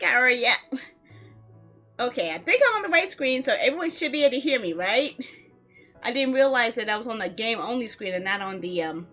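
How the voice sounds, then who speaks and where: Playful high-voiced woman streaming. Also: pushed and bright. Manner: playful, giggly, chatty. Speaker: video game livestream host. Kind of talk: casual livestream chat with viewers. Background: music.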